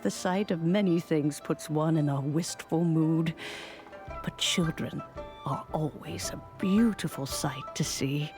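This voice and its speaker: An actress playing a genteel, wistful elderly woman in a video game, with courtly, old-fashioned, unhurried phrasing. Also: on the low side, full character voice.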